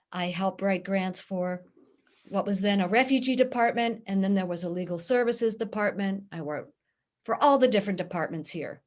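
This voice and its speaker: Interview guest, female, middle-aged, Pittsburgh accent, earnest and steady, mid-range pitch. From Pittsburgh, USA. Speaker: guest, nonprofit director. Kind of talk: interview answers.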